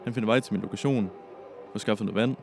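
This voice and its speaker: A young man tells his own story in scripted podcast narration. His voice sits low with a creaky edge, and music plays clearly behind him.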